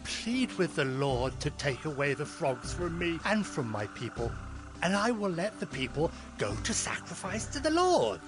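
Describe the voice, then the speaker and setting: A man's voice: fairly high pitch, sing-song, normal speed. A man playing a proud, imperious Pharaoh in a dramatized Bible reading, with theatrical delivery. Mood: imperious, grudging. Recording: music bed behind the voice.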